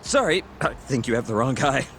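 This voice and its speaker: An actor playing a cheeky young friend in a video game cutscene, light, informal and teasing. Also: male voice, mid-range voice, sing-song, fairly quick, fairly bright tone, a little hiss or hum. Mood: amused, teasing. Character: cheeky young male tech-savvy friend, amused teaser.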